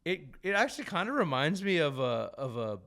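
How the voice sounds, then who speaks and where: Male podcast host from New Jersey, gravelly low-key voice, chatty and stammering. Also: big pitch swings, fairly quick, a bit bright. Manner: chatty, lots of restarts and stammers. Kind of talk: casual chat.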